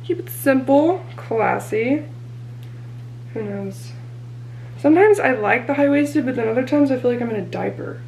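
Young woman vlogger, slightly high voice, chatty, thinking aloud; camera mic in an apartment, light hum. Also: expressive, normal speed, long pauses, a little mellow, poor audio. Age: 20s. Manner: chatty, thinking aloud while tidying and packing. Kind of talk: casual vlog chat. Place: small apartment bathroom and bedroom, indoors.

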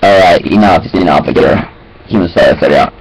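A man's voice: low-pitched, a little fast, creaky, slightly rough, low-quality recording. A young man mumbling casually to himself at a webcam in a small room, in disjointed phrases with sing-song filler sounds. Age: young adult. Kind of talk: rambling casual self-talk to webcam. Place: small cluttered room indoors.

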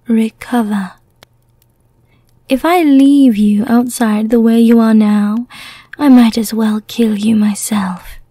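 A woman voicing a teasing, menacing yet merciful female vampire in an ASMR roleplay, in a whispery, deliberate way. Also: on the high side, warm tone, no echo, pitched below the performer's own voice, exaggerated voice. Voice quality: breathy, whispery.

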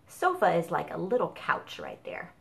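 A woman's voice: fairly low pitch, wildly swooping pitch, normal speed, gravelly, a little hiss or hum. A middle-aged woman presenting a children's storytime as host, recorded in a home kitchen a few feet from the camera. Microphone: camera a few feet away.

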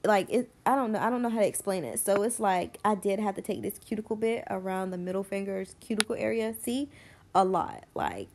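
A woman's voice: mid-range voice, a little fast, background noise. A young woman with a stuffy, congested voice, rambling casually with lots of fillers as she talks through a nail tutorial.

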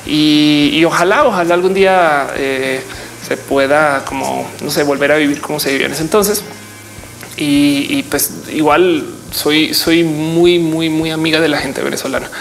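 A woman's voice: sits low, steady tone, moderate pace, poor audio. A woman in her thirties chatting casually to camera as a YouTuber, informal and reflective, wandering off on tangents. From Colombia. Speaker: YouTuber host answering viewer questions. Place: indoors, small office.

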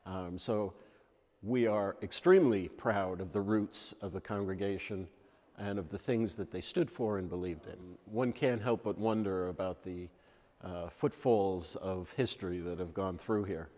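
An older man, a church elder, explaining church history at an unhurried pace with frequent ums. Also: deep voice, darkish tone. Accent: New York. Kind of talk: interview answers on church history. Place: large church sanctuary with Christmas tree. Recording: long reverb of a large church.

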